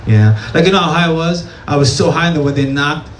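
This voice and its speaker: Stand-up comic, man, Syrian accent, slightly high voice, deadpan and tipsy, echoey cafe room. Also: a little fast. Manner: deadpan, repeats "that's nice" after punchlines. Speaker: stand-up comedian. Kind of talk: stand-up comedy set. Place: small cafe comedy stage. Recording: echoey room with crowd noise. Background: audience laughter and room ambience.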